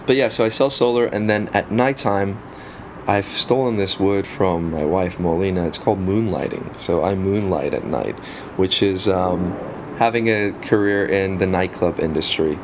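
A man in his thirties with a South African accent, a native Afrikaans speaker, talking casually as an interview guest with relaxed pauses and frequent ums. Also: sits low, noisy background. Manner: relaxed, frequent ums, self-deprecating humor. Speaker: guest, photographer.

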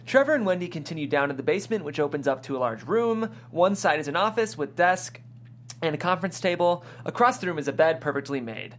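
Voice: mid-range voice, expressive, fast talker. A narrator reading out stage directions in a scripted style at a table read.

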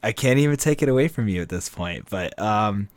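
Laid-back low-voiced male podcast host. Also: fairly quick, no echo. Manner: relaxed, chatty, frequent fillers and restarts. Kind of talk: casual card game podcast talk.

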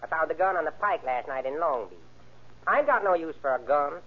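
An actor playing a tall, sly, cocky car thief with a Texas drawl, slangy and evasive, in old-time radio crime drama. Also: male voice, fairly high pitch, animated, fairly quick. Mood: coolly evasive. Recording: tinny, band-limited old radio recording.

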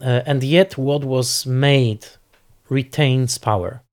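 A man giving reflective interview commentary on film, thoughtful and halting as he searches for words. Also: mid-range voice.

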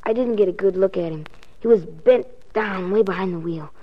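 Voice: medium pitch, moderate pace, lightly acted. A performer playing a small, hurt but earnest boy with an American accent and a youthful voice, in 1940s radio drama style. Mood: weak, earnest. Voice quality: youthful, boyish. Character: small injured boy, weak but earnest, street kid. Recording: muffled 1940s radio recording.

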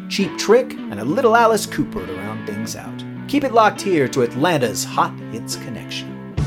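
Animated young male rock DJ. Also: on the high side. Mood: upbeat. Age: young adult. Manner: awkward, stammering, jokey. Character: nervous, eager rookie male late-night rock DJ. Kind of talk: horror audio drama acting.

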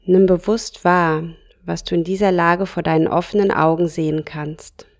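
A woman guiding a yoga nidra relaxation, speaking softly and calmly in short phrases with long pauses. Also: on the low side. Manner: slow, soft and calm, with pauses between short phrases. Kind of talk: guided relaxation meditation.

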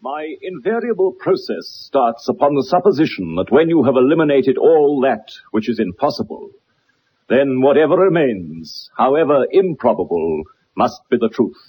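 An actor playing a self-assured, eloquent gentleman detective in a radio drama, with a formal, lecturing manner. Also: medium pitch, very animated, normal speed, a little hiss or hum, close to an everyday voice, poor audio. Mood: assured, explanatory.

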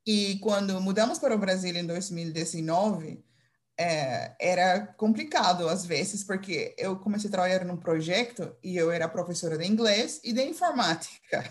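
A woman from Curaçao with a Dutch accent, a teacher being interviewed, speaking haltingly with many fillers and restarts. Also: on the low side. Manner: hesitant, searching for words with many fillers and restarts. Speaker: English teacher, interview guest. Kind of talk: casual interview answers.

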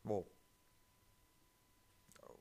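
A man's voice: drawn-out words, warm tone. A man, not an English speaker, reading online stories aloud and breaking in with sarcastic, profane commentary. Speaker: story reader.

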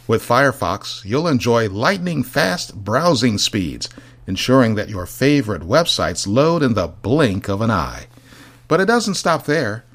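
A man's voice: mid-range voice, a bit bright. A man reading a polished, scripted advertisement. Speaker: commercial announcer.

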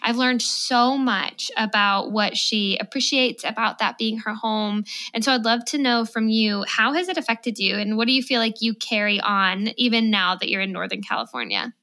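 A woman's voice: fairly high pitch, lively intonation, normal speed, few pauses, bright, forceful tone. A woman hosting a podcast interview, affirming and encouraging toward her guest. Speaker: podcast host. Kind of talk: conversational interview.

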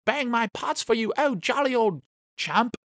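A man's voice: very high-pitched, animated, a little slow, a bit bright, no room sound, clean studio sound, voice pushed up, heavily acted. A man voicing a gushing old Eastern European civilian who cheers a returning soldier, in an exaggerated sing-song accent.

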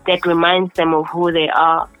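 A woman's voice: lowish voice, little pitch movement, moderate pace, clean tone, dry recording, soft background music. Woman with a level voice on a phone line. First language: Xhosa. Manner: thoughtful, self-assured, with frequent restarts. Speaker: singer being interviewed. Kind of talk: phone interview. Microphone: telephone.

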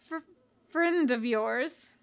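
A woman's voice: high-pitched, very slow, exaggerated voice. A woman voicing a menacing but easygoing skeleton boy who stutters and halts through a casual drawl in a storybook reading. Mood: falsely easy.

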